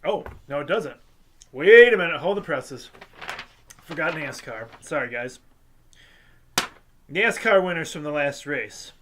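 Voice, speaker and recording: Man, stuffy sinus voice, slow halting sports recap, fairly high pitch. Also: lively intonation, fairly bright tone, a little hiss or hum. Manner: halting, filler-heavy delivery with light jokes. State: congested, sinusy. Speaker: sports show host. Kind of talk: casual scores recap.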